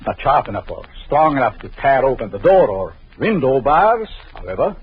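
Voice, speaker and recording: An actor playing a cruel, vengeful doctor in an old radio drama, taunting in a slow, sinister way. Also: male voice, medium pitch, very animated, breathy edge. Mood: menacing. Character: vengeful jealous male doctor, gloating and cruel. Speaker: villain. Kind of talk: 1940s radio acting. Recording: muffled old radio recording.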